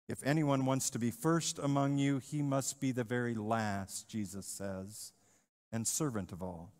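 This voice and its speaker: A middle-aged male pastor preaching a sermon in a church, animated, with rhetorical questions and repetition. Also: mid-range voice. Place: church sanctuary, in front of the altar. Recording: church livestream with long reverb.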